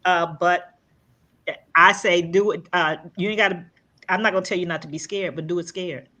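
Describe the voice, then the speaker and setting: A woman's voice: on the low side, fairly even intonation, sharp tone. A woman in her sixties, a guest on a podcast, chatting casually from home over a video call with lots of fillers and restarts. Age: 60s. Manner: halting, many fillers and restarts. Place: home room.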